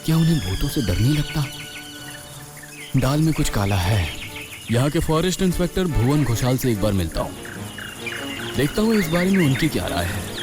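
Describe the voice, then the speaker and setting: Man playing a bold, animated police inspector. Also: medium pitch, normal speed, long pauses, over music, close to an everyday voice. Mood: suspicious, resolute. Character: bold, inquisitive male police inspector investigating a haunting. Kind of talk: Hindi horror audio story acting.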